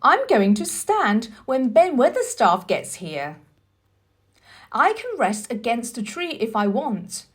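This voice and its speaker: A woman reading a children's storybook, voicing a sickly, imperious, excitable boy in an emphatic, commanding manner. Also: a little high, slow, exaggerated voice. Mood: determined.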